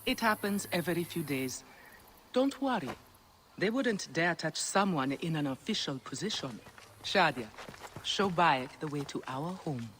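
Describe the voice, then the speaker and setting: An actress playing a composed, assured mother in a video game cutscene, speaking calmly and reassuringly. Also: deep voice, measured pace, a little hiss or hum. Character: Henut, composed mother and official's wife.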